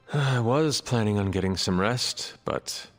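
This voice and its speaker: Stoic, low-voiced demon hunter. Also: male voice, animated, takes it slowly, faint music behind. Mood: reserved. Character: stoic, formal ancient demon hunter, a grown man. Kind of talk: video game cutscene acting.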